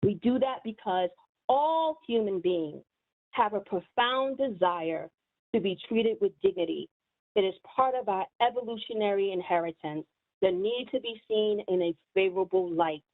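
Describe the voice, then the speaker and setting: Woman hosting, earnest and slow in places, sounds like a phone line. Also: mid-range voice. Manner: earnest and deliberate. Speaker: event host. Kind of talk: prepared remarks introducing speakers.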